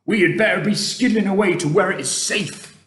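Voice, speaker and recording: A man voicing a kindly, cautious giant in a storybook, explaining earnestly in a hushed way with quaint, muddled grammar. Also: high voice, brisk, voice pushed up. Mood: hushed, wary.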